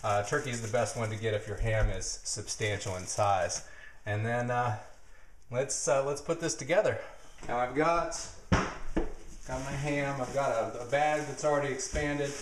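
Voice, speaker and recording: A man in his thirties hosting a jokey home cooking video in his kitchen, rambling with deadpan asides, recorded on a nearby camera mic. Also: medium pitch, measured pace, echoey room, a little hiss or hum. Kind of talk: jokey mock cooking show. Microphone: camera mic, handheld or nearby.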